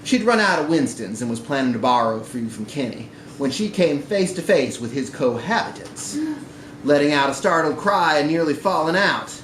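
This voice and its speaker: Guy reading a short story live at a bar, really animated, roomy sound with some noise. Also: a little high, moderate pace. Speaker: story reader at a live literary event. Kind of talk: expressive literary narration read to an audience. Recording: echoey live room recording with background noise.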